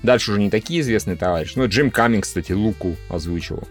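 A man's voice: fairly low pitch, expressive, fast, faint music behind. A man co-hosting a podcast, chatting informally in crude, sweary language. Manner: crude, profanity-laced informal speech. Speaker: podcast co-host. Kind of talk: casual podcast chat.

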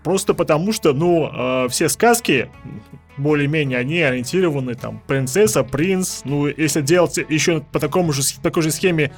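A man's voice: a little high, normal speed. A man co-hosting a podcast in a casual discussion of films. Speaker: podcast co-host. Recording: music bed behind the voice.

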